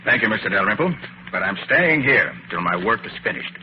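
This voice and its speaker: An actor playing a confident, unflappable master detective in an old-time radio drama, brisk, clipped and self-assured. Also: male voice, fairly low pitch, very animated. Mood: cool, resolute. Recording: noisy, muffled old radio recording.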